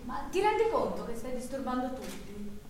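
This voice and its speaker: A woman's voice: high-pitched, big pitch swings, normal speed, darkish tone, slight background noise. An actress on stage playing an irritated woman in a waiting room, who scolds sharply. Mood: annoyed. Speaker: stage actor. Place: theatre stage. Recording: echoey stage sound from far off.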